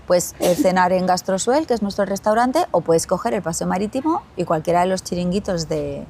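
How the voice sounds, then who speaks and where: A woman with an Andalusian Spanish accent, a company chief answering interview questions in a measured way, pausing and repeating as she thinks. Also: fairly low pitch, lively intonation, gravelly, a little mellow, slight background noise. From Spain. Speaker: glamping company CEO, guest.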